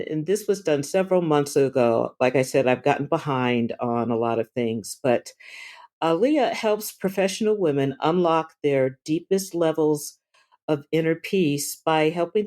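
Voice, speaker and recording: A woman hosting a podcast, speaking in an earnest, reflective and gently enthusiastic way. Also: deep voice. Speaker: podcast host. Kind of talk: conversational podcast interview.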